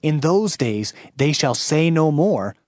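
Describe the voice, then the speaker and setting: A man's voice: fairly high pitch, very animated, slow, darkish tone, dry recording, studio quality. A man narrating a dramatized scripture audiobook in a solemn, formal, declamatory manner, with music behind him.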